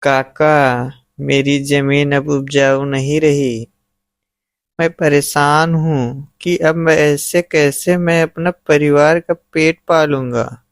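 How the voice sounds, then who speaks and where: A man's voice: a little high, steady tone, slow, no echo, studio quality. A man playing a worried, struggling village farmer in a moral-story cartoon, speaking earnestly. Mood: pleading.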